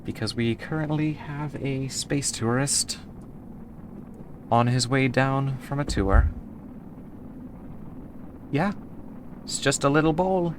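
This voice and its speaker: A woman's voice: very deep voice, slow, long pauses, background noise. A woman from the United States streaming a game and chatting casually with her viewers, easygoing and rambling as she answers chat between moves.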